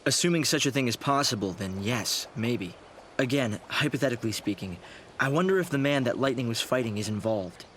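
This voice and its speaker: An actor playing an earnest, idealistic young researcher in a video game, speaking in a measured, formal, thoughtful way. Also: male voice, medium pitch, lively intonation, fairly bright tone, slight background noise, natural voice. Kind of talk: video game cutscene acting.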